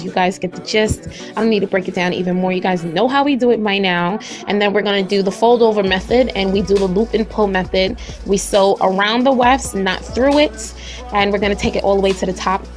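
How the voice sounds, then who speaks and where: A young woman with a slightly raspy voice talks viewers through a hair tutorial in a chatty, slangy, friendly way, speaking to them directly. Her pitch sits in an ordinary middle range. Soft music plays quietly underneath. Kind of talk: casual tutorial narration.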